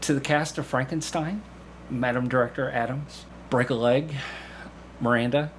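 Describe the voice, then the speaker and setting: A man's voice: mid-range voice, steady tone, moderate pace, a little hiss or hum. A middle-aged man talking casually as an interview guest, telling things in an anecdotal way. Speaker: podcast guest, amateur stage actor.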